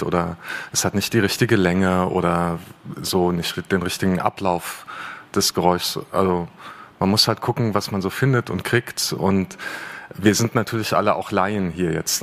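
A man with a lowish voice speaks on a panel stage, pausing often with fillers as he explains his work. He slips in dry, self-deprecating jokes. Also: fairly bright tone. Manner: hesitant, many fillers, self-deprecating humor. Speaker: radio play author and workshop leader. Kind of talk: panel discussion talk. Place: panel stage at an arts academy.

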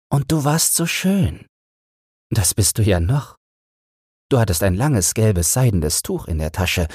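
A fond old wife played by a man reminisces in a bedtime storybook reading. The delivery is slow and smooth, lifted well above the reader's own pitch, and recorded dry. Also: a little mellow, dry recording. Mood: tender. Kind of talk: audiobook fairy-tale character reading.

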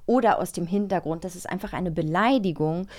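A woman's voice: fairly low pitch, brisk, darkish tone. A woman from eastern Germany co-hosting a podcast in casual, conversational talk. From East Germany. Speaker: podcast co-host. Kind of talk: casual chat.

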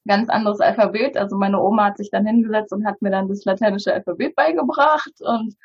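A woman in her thirties, a native Bulgarian speaker, talking in an interview with many pauses and fillers. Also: mid-range voice, breathy edge, muffled recording. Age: 30s. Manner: hesitant, many fillers and restarts. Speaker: interview guest. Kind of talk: casual interview talk.

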